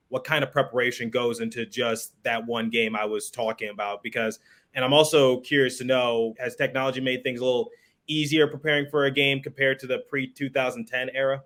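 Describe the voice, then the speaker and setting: A man's voice: medium pitch, a bit bright. An American man hosting a sports podcast, moving between scripted promo reads and conversational interview questions.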